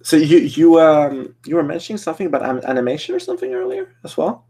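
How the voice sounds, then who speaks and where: A man's voice: on the high side, big pitch swings, fast, creaky, mellow, husky. A man chatting informally and easily as a guest on a casual live stream.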